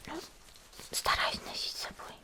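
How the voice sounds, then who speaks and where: A woman playing a cheerful, caring young female demon slayer in a soft, chatty whispered ASMR roleplay. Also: normal speed, close to an everyday voice. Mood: gentle, chatty. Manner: gentle, chatty, hesitant little murmurs.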